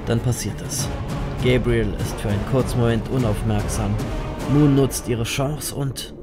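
A grim male reaper tells his own story in a horror radio play, performed by a man. His voice is medium in pitch, with a wry, dramatic edge. Loud music plays behind him. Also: steady tone, a little slow, lightly acted. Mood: tense, grave. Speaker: narrator. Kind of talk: dramatic first-person audio drama narration.

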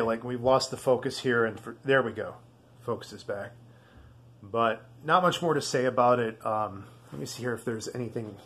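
A man giving a casual product demonstration in a halting, rambling, easygoing way. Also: mid-range voice, fairly bright tone, breathy edge. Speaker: video host.

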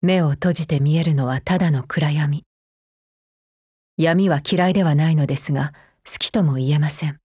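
A woman's voice: deep voice, moderate pace, dark tone, husky, dry recording, clean studio sound. A woman playing a cold, efficiency-minded female combat android in a mobile game, speaking formally in a clipped, stern, unemotional way. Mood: cool, composed. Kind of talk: scripted mobile game character voice lines.

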